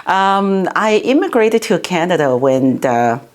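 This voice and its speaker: A woman's voice: fairly low pitch, sing-song, measured pace. A middle-aged woman from Hong Kong, a city councillor, answering interview questions in a chatty way with many fillers and restarts.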